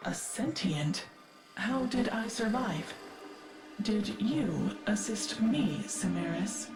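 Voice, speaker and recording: A woman voicing a calm, curious, analytical artificial-intelligence archivist in a video game, detached and formal. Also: medium pitch, takes it slowly. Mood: detached curiosity. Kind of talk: video game character acting. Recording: echoing voice with faint game music.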